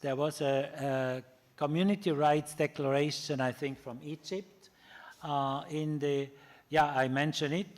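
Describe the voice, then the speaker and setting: A man whose first language is German, giving a public lecture in English, halting often with fillers and restarts. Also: a little high. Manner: pauses on single words, slow in places.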